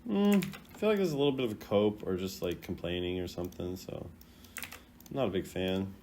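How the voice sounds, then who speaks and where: A man's voice: sits low, fairly quick, a little mellow, slight background noise. A man giving casual, off-the-cuff commentary as a video host, rambling and playfully opinionated. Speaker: video host rating game voice lines.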